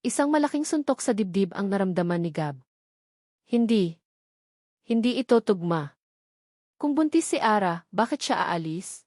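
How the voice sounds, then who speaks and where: A woman's voice: medium pitch, darkish tone, no echo, clean studio sound. A woman reading a scripted, dramatic story as its narrator.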